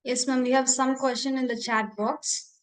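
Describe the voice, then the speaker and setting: A woman's voice: sits high, fairly even intonation, moderate pace. A woman moderating an online session, politely reading out questions from the chat. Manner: polite, deferential. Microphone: video call.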